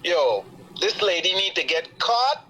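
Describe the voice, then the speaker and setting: Guyanese male caller, very sharp high voice, exasperated and emphatic, faint noise on the audio. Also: very animated, a little slow, rough recording. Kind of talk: casual, heated opinion.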